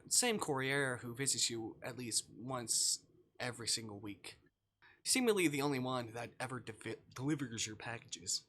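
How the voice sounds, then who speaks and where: A man's voice: mid-range voice, sharp tone, a little hiss or hum. A man live streaming, reading a visual novel aloud and breaking off for informal, jokey asides. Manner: informal, jokey, swearing, reacting to the story.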